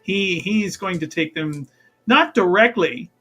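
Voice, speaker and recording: A man improvising casual narration as a player in a tabletop roleplaying game, rambling and halting, often repeating words in bursts. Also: high-pitched, lively intonation, pushed and bright, slightly rough.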